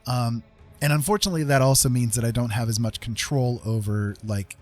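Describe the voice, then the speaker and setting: A man's voice: mid-range voice, fairly quick, a little mellow, faint music behind. A man chatting casually on a livestream as an artist, thinking aloud in a conversational way. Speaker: live streamer and digital artist.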